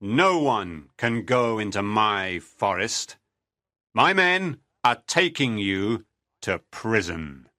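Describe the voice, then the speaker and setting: A man's voice: lowish voice, very animated, slow, sharp tone, dry recording, clean studio sound, exaggerated voice. A man voicing a cruel, greedy medieval lord in a storybook reading, barking domineering commands. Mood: commanding.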